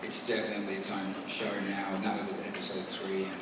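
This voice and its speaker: A man's voice: low-pitched, completely flat delivery, fast, heavy background noise. A man on a convention panel, answering a fan in a rambling, joking way, often repeating words. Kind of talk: answering fan questions on stage. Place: large convention hall. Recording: echoey hall from far off.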